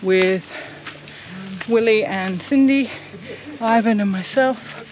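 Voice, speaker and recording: A woman's voice: mid-range voice, takes it slowly, slight background noise, poor audio. A middle-aged woman with a New Zealand accent, chatting to a handheld camera while hiking a forest trail. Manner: warm, chatty, points things out to the camera. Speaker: sailing vlogger. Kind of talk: casual vlog narration while hiking. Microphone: handheld camera at arm's length.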